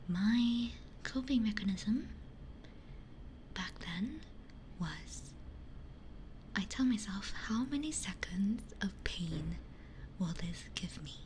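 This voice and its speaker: A woman whispering softly and unhurriedly in casual ASMR chat, musing in short phrases close to a binaural microphone. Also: mid-range voice, expressive, takes it slowly, long pauses. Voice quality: breathy whisper. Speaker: ASMR livestreamer. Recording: close-miked with faint background noise.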